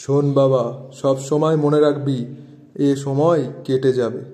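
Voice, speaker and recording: A man voicing a wise, calm wandering holy man in a moral-tale cartoon, speaking in a measured, preaching manner. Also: mid-range voice, natural voice. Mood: solemn, reassuring. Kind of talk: cartoon voice acting.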